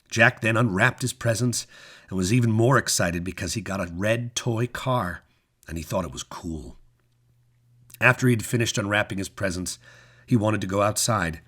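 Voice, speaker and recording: A man with a low, slightly husky voice narrates a written story. He reads in a measured, dramatic way, shaping phrases expressively and leaving deliberate pauses. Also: a bit bright. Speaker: story reader.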